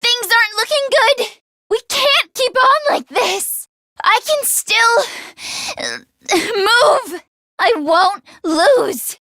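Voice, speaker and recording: A woman's voice: extremely high pitch, animated, takes it slowly, bright, forceful tone, no room sound, clean studio sound, heavily acted. A woman voicing a plucky, earnest teenage girl mechanic in a video game, speaking emphatically and easily flustered. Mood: distressed, strained. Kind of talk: video game voice acting, battle barks.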